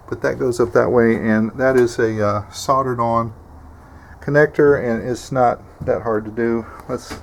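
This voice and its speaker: Folksy man with noise behind him. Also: medium pitch. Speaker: host of homesteading video channel. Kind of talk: casual instructional vlog.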